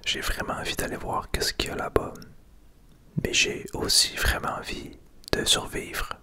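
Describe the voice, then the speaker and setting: A man with a Québécois French accent whispering casual, unhurried ASMR commentary as he plays a video game. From Quebec. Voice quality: breathy, unvoiced whisper. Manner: unhurried, hushed, casual narration of play.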